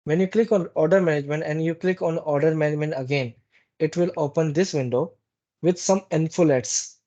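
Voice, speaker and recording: A man runs a live software training session. His voice sits a little high for a man, with a soft, dark, relaxed tone. He explains step by step in a halting, instructive way, repeating phrases, and the recording is somewhat dull and lo-fi. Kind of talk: live training walkthrough with screen demonstration. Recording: dull, muffled lo-fi meeting recording.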